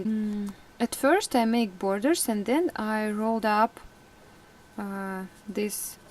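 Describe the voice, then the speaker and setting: A young woman whose first language is Russian, chatting casually in a vlog, laughing often and pausing with fillers. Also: fairly high pitch, darkish tone, poor audio. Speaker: vlogger.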